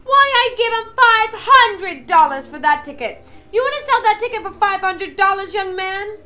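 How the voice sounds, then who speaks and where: A woman voicing a pushy, indignant woman in a crowd who speaks loud and shrill. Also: animated, normal speed, heavily acted.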